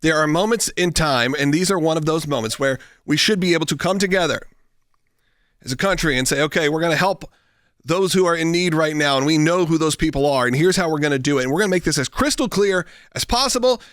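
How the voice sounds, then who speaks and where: A man's voice: fairly high pitch, quick pace, a bit bright, dry recording, clean studio sound. A man with an American accent giving conversational political commentary as a talk show host.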